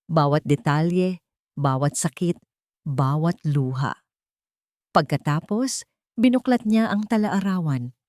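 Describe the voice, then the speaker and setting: A woman reading a scripted first-person dramatic story as narrator, in an earnest, deliberate way. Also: sits low, lively intonation, a little mellow, no echo, clean studio sound.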